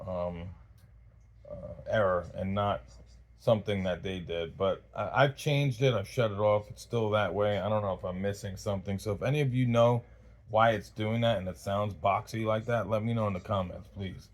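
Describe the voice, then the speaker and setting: A man with a lowish voice hosts an informal, unscripted gear review. He talks in a halting, rambling way and often doubles back mid-thought, as in casual chat with his viewers. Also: steady tone. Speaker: host of a radio gear review channel.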